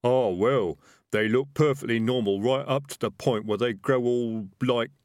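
A man playing a cautious, chatty highwayman in a storybook reading, matter-of-fact and methodical. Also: a little slow, a little mellow, dry recording, clean studio sound, close to an everyday voice. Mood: methodical, wary.

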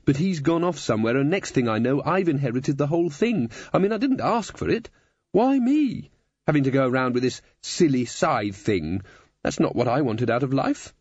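A man's voice: medium pitch, lively intonation, normal speed, darkish tone, dry recording, lo-fi sound, pitched above the performer's own voice, close to an everyday voice. A man voicing a bewildered, indignant teenage girl in a storybook reading. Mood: aggrieved. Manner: sceptical, indignant. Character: bewildered, sullen teenage girl, sceptical and proper.

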